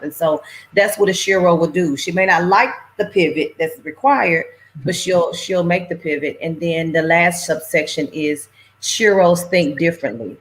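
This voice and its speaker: A middle-aged woman chatting on a livestream, telling stories with animated, folksy phrasing. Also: fairly low pitch, low-quality recording. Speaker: anthology organizer and guest. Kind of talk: casual conversation on a livestream.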